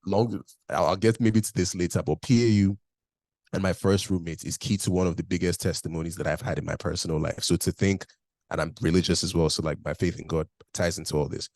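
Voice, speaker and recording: Fast-talking deep-voiced young man, Nigerian-mixed accent. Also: steady tone, a little mellow, no echo. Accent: mixed Nigerian, British and Canadian. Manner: candid, storytelling, heavy use of "like". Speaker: guest. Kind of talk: casual interview chat.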